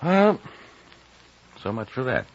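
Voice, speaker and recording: An actor playing an earnest, reflective gentleman, an inquisitive and wistful narrator in a 1950s radio drama. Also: mid-range voice, huge pitch swings, takes it slowly, heavily acted. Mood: resigned. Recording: dull, noisy vintage radio recording.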